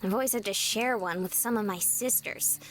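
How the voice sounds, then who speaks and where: A woman's voice: medium pitch, normal speed, soft background music, close to an everyday voice, rough recording. A woman playing a cheerful, open young woman from a big family in visual novel game dialogue. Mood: candid, chatty.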